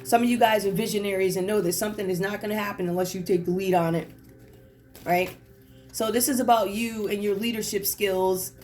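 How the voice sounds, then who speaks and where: Wanita suara serak, pembaca tarot. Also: female voice, medium pitch, a little hiss or hum. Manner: chatty, reflective, many fillers. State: hoarse. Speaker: tarot reader. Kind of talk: casual tarot reading.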